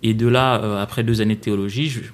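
A man in his thirties, originally from Kinshasa, talking as a guest in a relaxed interview, thoughtful, often restarting and repeating words as he works out what he wants to say. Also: on the low side, fast. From Congo, Kinshasa. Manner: thoughtful, frequent restarts and repetitions. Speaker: guest, banker. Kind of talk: casual interview conversation.

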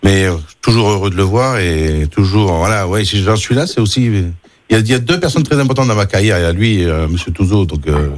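A chef from Marseille with a Marseille accent, talking warmly in a casual radio interview, often restarting his sentences. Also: lowish voice, lively intonation, fast, dry recording. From Marseille, France. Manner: warm, emotional, many restarts.

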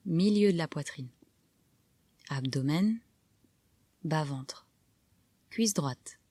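Slow, low-pitched woman guiding relaxation. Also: no room sound. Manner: calm, soft, measured instructions with pauses. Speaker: yoga nidra guide. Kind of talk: guided relaxation meditation narration.

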